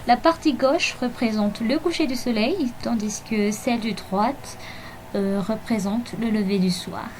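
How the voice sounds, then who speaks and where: Slow, hesitant woman over faint music. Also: on the high side, rough recording. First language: Malagasy. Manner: slow and hesitant, many fillers, soft laughs. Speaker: bullet journal vlogger. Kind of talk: voice-over narration of a plan with me.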